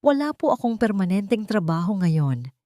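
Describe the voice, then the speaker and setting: A woman voicing an anxious, timid housemaid and mother who answers haltingly and defensively in a story. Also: mid-range voice, very animated, mellow, no room sound, studio quality, natural voice. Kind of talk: audio drama storytelling.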